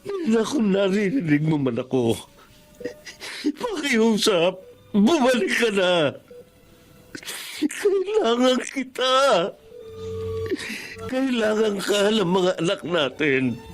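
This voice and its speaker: A man's voice: extremely high pitch, heavily acted. An actor playing a grieving, devoted husband and father in an emotional, pleading Tagalog radio drama style. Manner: pleading, tearful, heavily emotional.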